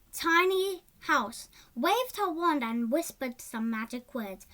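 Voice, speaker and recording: A child reads a story aloud in a high voice. The pitch moves up and down in a lively way, while the pace is slow and halting, with words stumbled over and repeated.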